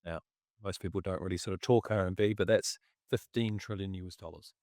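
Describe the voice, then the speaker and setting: A man chats about markets in a halting, casual way, often stopping to clear his throat. His voice sits a little low and is wearing thin as he loses it. The sound is dry and close, with no room around it. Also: steady tone, a little mellow, dry recording. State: losing his voice. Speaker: investment commentator.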